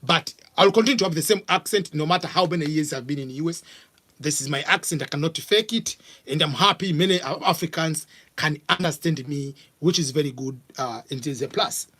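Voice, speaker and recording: Tanzanian guy hosting a live q&a, pretty high voice, lots of uh and restarts. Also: sharp tone. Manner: casual, halting, many fillers and restarts. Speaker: vlog host answering viewer questions. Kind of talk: live Q&A chat.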